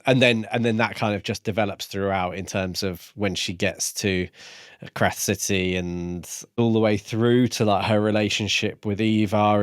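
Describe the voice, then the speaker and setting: A man co-hosting a podcast, talking casually about books in halting, thoughtful short phrases. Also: on the low side, dry recording, studio quality. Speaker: podcast co-host. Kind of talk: casual book discussion.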